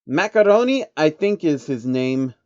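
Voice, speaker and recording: A man's voice: a little high, sing-song, measured pace, darkish tone, muffled recording, pitched above the performer's own voice. A man voicing a menacing older male banker villain in a fan storybook reading, speaking with venom and threats. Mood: sinister.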